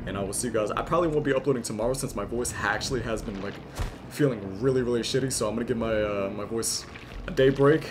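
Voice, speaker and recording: A hoarse young man giving loud, profane commentary over his own gameplay, muttering one moment and yelling the next. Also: mid-range voice, fast, noisy background. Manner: swings from muttering to yelling, swears a lot. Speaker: gaming YouTuber. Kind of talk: excited live gameplay commentary.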